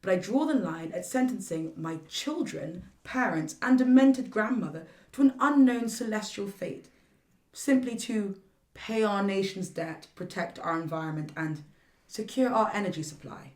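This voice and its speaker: A woman's voice: mid-range voice, lively intonation, moderate pace, a little mellow, echoey room, distant mic, lightly acted. A woman playing a principled, cutting government minister in a satirical radio play, with a formal, oratorical delivery. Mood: indignant, formal.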